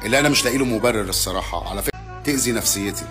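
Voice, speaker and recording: Aggrieved Egyptian man over loud music. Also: fairly low pitch, rapid-fire. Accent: Egyptian Arabic. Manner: aggrieved, wounded complaining. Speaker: content creator. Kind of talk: played clip of a hurt video complaint. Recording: clip replayed with music behind.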